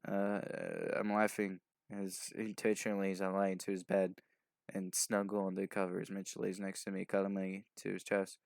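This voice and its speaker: Sleep-deprived male reader, low and gravelly, improvised delivery, stumbles and skims, tosses in casual asides. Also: completely flat delivery, moderate pace, darkish tone, no room sound, studio quality. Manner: stumbling over words, mumbled skimming; offhand asides. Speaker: video host doing a fanfic reading. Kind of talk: loose, improvised reading aloud.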